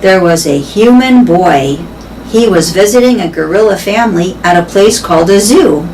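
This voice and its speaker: A woman voicing a wise, weary old female elephant who tells stories in a gentle, measured, thoughtful way. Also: medium pitch, expressive, slight background noise, lightly acted, low-quality recording. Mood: measured, wistful.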